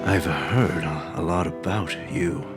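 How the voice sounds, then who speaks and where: A man's voice: low voice, takes it slowly, loud music behind the voice. A man acting a brooding, obsessed avenger in a radio drama, intense and menacing. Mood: guarded. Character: brooding, obsessed male avenger hunting a phantom.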